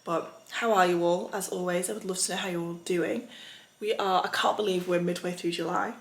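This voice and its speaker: Husky woman vlogging. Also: fairly low pitch, steady tone, a little fast. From England. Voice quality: husky, raspy. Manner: chatty, many ums, upbeat. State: recovering from tonsillitis. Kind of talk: casual vlog chat. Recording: echoey room.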